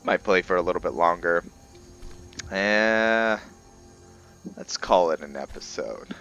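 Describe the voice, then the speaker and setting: A man giving casual let's play commentary as he roleplays, rambling and halting as he thinks aloud. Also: low voice, animated, fairly bright tone, lo-fi sound, soft background music. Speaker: gaming video host, roleplayer.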